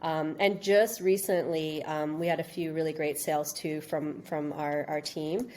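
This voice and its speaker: A woman from Canada, born in India, giving informal, halting interview answers and circling back over her phrases. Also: deep voice, fairly even intonation, a little mellow, slight background noise. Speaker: interview guest, registry business executive.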